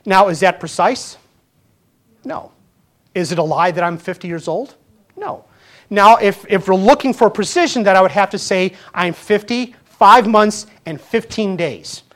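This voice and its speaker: A man's voice: sits high, measured pace, a bit bright. A fifty-year-old pastor preaches in a plain, conversational way. He keeps asking his listeners rhetorical questions and often restarts his sentences as he talks his point through. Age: 50s. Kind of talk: sermon.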